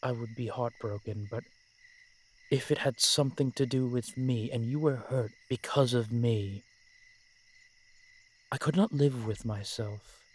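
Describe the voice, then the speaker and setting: A man playing a brooding, protective vampire in an audio roleplay, speaking in formal, measured phrases with dramatic pauses. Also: mid-range voice, slightly rough. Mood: tender, sorrowful. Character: brooding, protective male vampire, old-fashioned and frustrated. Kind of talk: scripted audio roleplay acting addressed to the listener.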